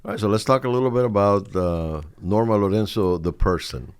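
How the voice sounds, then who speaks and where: A man hosting a podcast, asking questions casually and feeling his way haltingly through his sentences. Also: fairly low pitch, clear, resonant tone, no echo. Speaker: podcast host.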